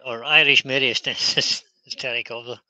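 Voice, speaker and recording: Scottish man, woodturning host, very bright voice, dry close sound, easygoing chat. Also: medium pitch, a little fast, no echo, poor audio. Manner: relaxed, chatty, explains as he works. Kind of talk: casual chat while demonstrating. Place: indoor workshop at a lathe.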